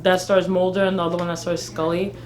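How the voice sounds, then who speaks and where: A woman's voice: lowish voice, flat delivery, fast talker, darkish tone. A woman co-hosting a casual live fan chat, giving informal opinions and swearing freely. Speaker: fan vlog co-host.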